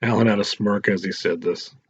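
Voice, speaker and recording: A man's voice: on the low side, steady tone, brisk, slightly rough. A man narrating a scripted story as an audiobook reader. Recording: roomy echo, dull lo-fi sound.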